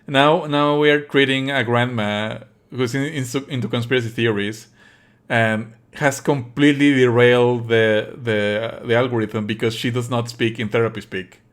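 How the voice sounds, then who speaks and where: A man's voice: medium pitch, fairly even intonation, normal speed. A man whose native language is Spanish, giving sardonic, exasperated live commentary as he streams a game. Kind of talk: live let's play commentary.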